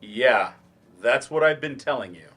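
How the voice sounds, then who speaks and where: A man's voice: mid-range voice, lively intonation, unhurried, a bit bright. Man acting bitter, sardonic washed-up writer. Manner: dry, sarcastic, wordy. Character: bitter, sardonic, dejected male writer turned teacher. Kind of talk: staged reading of a stage play. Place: library event room, staged reading.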